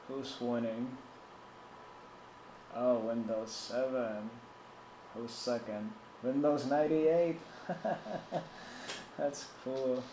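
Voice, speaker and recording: Slow, easygoing man. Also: medium pitch, steady tone, mellow, roomy sound, a little hiss or hum, rough recording. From Puerto Rico. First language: Spanish. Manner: loose, thinks aloud, reads and answers chat. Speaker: tech streamer. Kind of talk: casual live-stream talk.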